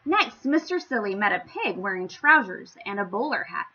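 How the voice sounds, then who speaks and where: A woman reading a storybook aloud to young children, with theatrical, playful emphasis and an expressive storytelling style. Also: medium pitch, moderate pace, dull audio. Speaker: children's story-time reader.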